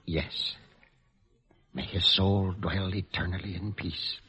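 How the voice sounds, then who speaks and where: An actor playing a gentle, devout mission priest who speaks softly and formally, in 1940s radio acting style. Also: male voice, sits low, fairly even intonation, slow, husky, exaggerated voice. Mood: solemn. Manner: soft-spoken, solemn, formal. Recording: dull lo-fi vintage radio recording.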